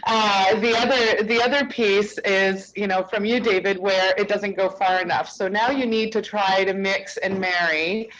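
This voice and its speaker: Loud-voiced woman panelist. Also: mid-range voice, fairly even intonation, moderate pace, hardly any pauses, muffled recording, a little hiss or hum. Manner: loud, self-aware, conversational with fillers. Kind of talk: conference panel answer.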